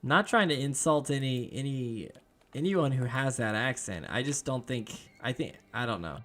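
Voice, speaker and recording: Hoarse young man streaming a game. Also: medium pitch, lively intonation, moderate pace, a bit bright. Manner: chatty, talks to viewers by name. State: hoarse, losing his voice. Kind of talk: casual live-stream chat.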